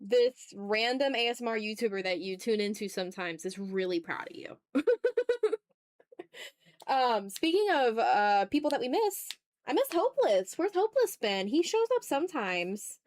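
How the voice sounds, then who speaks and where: High-voiced woman chatting on an art livestream. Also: animated, normal speed, no echo. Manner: informal, rambling, talking to chat. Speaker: art streamer and ASMR YouTuber hosting a livestream. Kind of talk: casual livestream chat while drawing.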